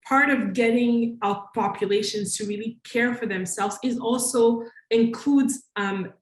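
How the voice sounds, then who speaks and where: A woman's voice: mid-range voice, echoey room, poor audio. A woman from Congo giving a conference talk, thoughtful and earnest, often restarting her sentences with fillers. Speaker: conference panelist.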